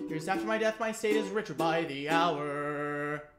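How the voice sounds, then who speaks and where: A man's voice: fairly high pitch, measured pace, natural voice. A man playing the proud, boastful ghost of an old businessman in sing-song patter for a comic radio musical. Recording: echoey, loud guitar backing.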